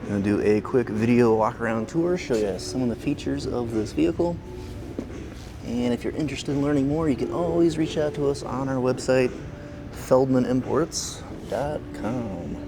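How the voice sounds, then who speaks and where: A man, a car salesman, giving a casual walkaround tour of a vehicle at a dealership, talking in a relaxed, plain way with frequent fillers. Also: mid-range voice, normal speed, background noise.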